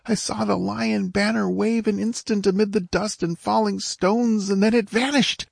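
A man voicing an excitable young squire in a fantasy audiobook, declaiming breathlessly. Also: high voice, moderate pace, vocal fry, husky, dry recording, studio quality, pitched above the performer's own voice, heavily acted. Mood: horrified. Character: young squire, frantic excitable lookout at a battle. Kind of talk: dramatic fantasy audiobook character voice.

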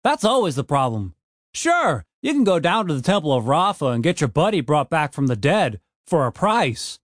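A man's voice: fairly high pitch, very animated, normal speed, no echo, clean studio sound. A man voicing a crippled male gnome innkeeper who talks bluntly and swears a lot. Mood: rueful.

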